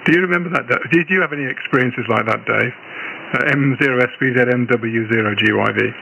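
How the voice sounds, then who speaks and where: A British man in middle age talks casually over ham radio. His voice is bright and cutting, with faint noise on the shortwave signal. He rambles along as if reminiscing with fellow operators. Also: mid-range voice, quick pace, gravelly, highs cut off. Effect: radio transmission. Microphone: radio transceiver, received over shortwave.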